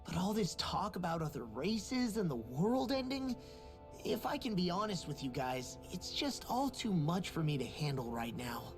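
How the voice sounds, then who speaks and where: A man's voice: high-pitched, very animated, normal speed, music in the background. An actor voicing a brash but big-hearted young male fighter in a video game cutscene, talking in a casual, candid way.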